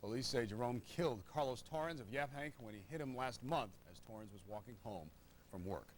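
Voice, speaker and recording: A man's voice: medium pitch, brisk, slight background noise. A man reading scripted television news as an anchor.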